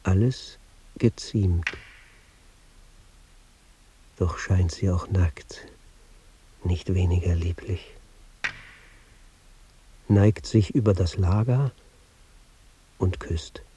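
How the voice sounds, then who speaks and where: A tender, solemn man reciting old verse in a radio play. His low voice is very dark and soft, moving slowly in measured, hushed phrases. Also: slight background noise, rough recording. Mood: tender, reverent. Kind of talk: poetic recitation in radio drama.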